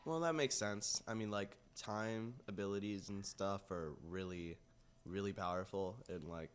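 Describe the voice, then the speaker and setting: A young man with a distinctly deep voice, talking as a co-host in a casual chat. His phrasing is loose and informal, and he comes across as agreeable. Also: a little slow, clean tone. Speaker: podcast co-host.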